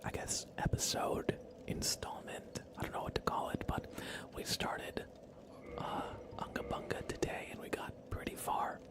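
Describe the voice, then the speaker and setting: A man whispers his way through live video game commentary in an ASMR style. His delivery stays hushed and soothing, with casual, unscripted phrasing throughout. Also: a little slow. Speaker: game livestreamer.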